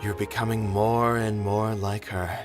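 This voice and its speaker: A man's voice: on the low side, flat delivery, a little slow, over music, natural voice. An actor playing an earnest, caring middle-aged male theater owner. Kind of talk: game voice acting.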